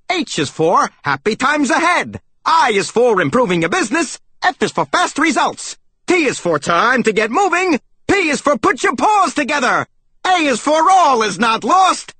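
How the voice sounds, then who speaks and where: A man voicing a pushy, exuberant salesmouse in a storybook, with a loud, squeaky voice. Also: no room sound, full character voice. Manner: shouting, interrupting, sloganeering. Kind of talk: audiobook character voice.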